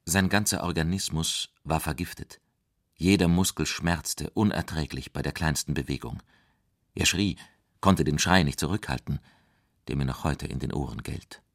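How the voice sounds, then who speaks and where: A man's voice: extremely low pitch, normal speed, dry recording, studio quality. An actor playing a solemn writer reading his weighty recollections of a friend in a radio documentary drama. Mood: anguished, solemn.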